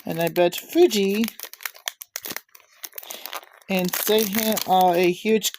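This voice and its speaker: A woman giving a casual, unscripted show-and-tell with halting, rambling delivery. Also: medium pitch, fairly even intonation, noisy background. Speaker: video maker showing cassette tapes.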